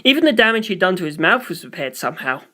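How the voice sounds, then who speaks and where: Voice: medium pitch, big pitch swings, fast talker, sharp tone. A reader delivering scripted first-person story narration, as for an audiobook.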